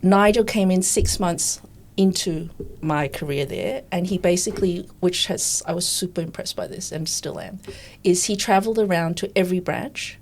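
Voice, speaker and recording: A woman's voice: fairly low pitch, moderate pace, hardly any pauses, a little hiss or hum. Chatty woman in her fifties. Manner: chatty and candid, acting out quoted remarks. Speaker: podcast guest, recruitment coach. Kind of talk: conversational storytelling.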